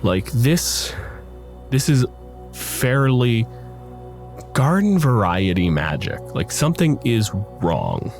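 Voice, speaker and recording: A man's voice: medium pitch, very animated, takes it slowly, long pauses, a little mellow, soft background music. A man working as game master, narrating a tabletop game in a casual, conversational, explanatory way.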